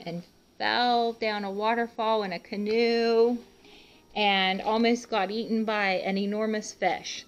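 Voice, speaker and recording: A woman reading a picture book aloud to young children, pausing to explain things simply and speaking to them directly as a teacher would. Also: mid-range voice. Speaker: children's read-aloud host and art teacher.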